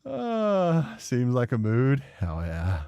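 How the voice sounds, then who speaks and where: A man's voice: mid-range voice, wildly swooping pitch, slow, warm tone, clear, resonant tone, highs cut off. A man doing casual let's play commentary, muttering sweary asides and reading bits of game text aloud to himself. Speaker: gaming streamer.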